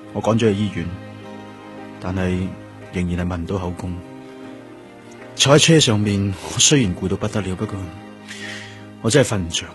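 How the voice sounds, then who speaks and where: An actor with a Hong Kong Cantonese accent playing a stubborn, weary young police detective in a radio drama, defiant and insistent. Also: male voice, sits low, close to an everyday voice. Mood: weary, determined. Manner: defiant, insistent, repeating himself for emphasis.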